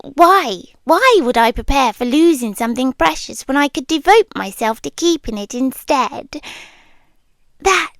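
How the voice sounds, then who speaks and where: A British woman playing a centuries-old, possessively devoted monster girlfriend in an intimate ASMR roleplay, speaking softly and often slipping into breathy whispers. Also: sits high, no room sound, full character voice. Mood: earnest devotion.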